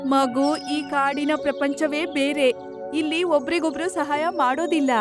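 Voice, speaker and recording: A woman voicing a resigned, motherly deer aunt in a children's cartoon story, gentle and apologetic. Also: high-pitched, fairly even intonation, unhurried, music in the background. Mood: regretful.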